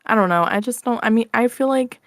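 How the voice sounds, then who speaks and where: A woman's voice: on the high side, fast talker, fairly bright tone, dry recording, studio quality. A woman chatting casually as an interview guest, informal and self-deprecating. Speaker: interview guest, audio roleplay creator. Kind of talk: casual chat.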